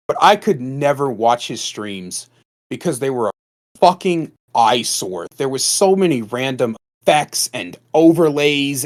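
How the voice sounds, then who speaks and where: An animated man with a medium-pitched voice chats on a podcast. His pitch swings widely as he exaggerates for emphasis, and he is blunt, with plenty of casual swearing. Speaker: podcast participant.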